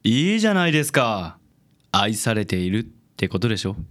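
A man playing a vain, suave, self-styled handsome investigator in a radio drama, with smooth, theatrical self-assurance. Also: fairly low pitch, sing-song, a little fast, a bit bright. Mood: gentle, suave.

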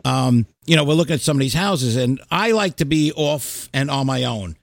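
A middle-aged man hosting a casual chat, talking in an animated, slangy, sweary way. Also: medium pitch, normal speed, gravelly, no echo, clean studio sound. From New York and New Jersey, United States. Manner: animated, profane, slangy storytelling. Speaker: podcast host.

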